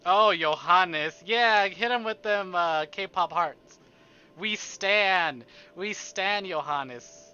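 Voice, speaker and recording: A man's voice: high-pitched, very slow, pushed and bright, clear, resonant tone, highs cut off. A man live streaming, reading a story aloud and adding playful, exclamatory asides to his chat. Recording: music behind the voice.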